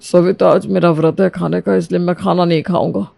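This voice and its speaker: Casting: man as middle-aged sasur in a family cartoon, gravelly creak, dry close sound, wry grumbler who loves food. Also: high-pitched, level tone, fairly quick, darkish tone, dry recording. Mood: wry, weary. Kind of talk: Hindi cartoon moral story dialogue.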